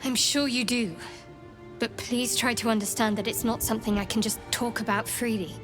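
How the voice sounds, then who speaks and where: A woman playing a guarded, secretive young cleric of a dark goddess in a video game, speaking in a measured, reserved, wry way. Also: on the high side, fairly even intonation, lightly acted. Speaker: video game companion character. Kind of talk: video game cutscene acting. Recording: music behind the voice.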